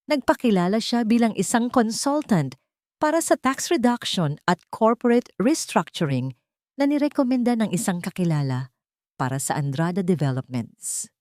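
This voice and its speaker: A woman's voice: medium pitch, a little mellow, dry recording, clean studio sound. A woman reading a scripted, dramatic love story as narrator.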